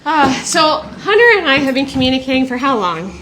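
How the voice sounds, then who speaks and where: A woman's voice: sits high, very animated, a little fast, noisy background. A woman from Texas hosting a casual, reflective chat, laughing easily as she talks. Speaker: host.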